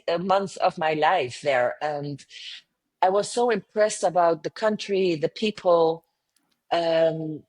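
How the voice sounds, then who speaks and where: A woman in her fifties with a French accent, telling her story earnestly as an interview guest, with many hesitations. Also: medium pitch. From south of France. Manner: frequent hesitations and restarts, earnest. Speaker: guest, travel company founder.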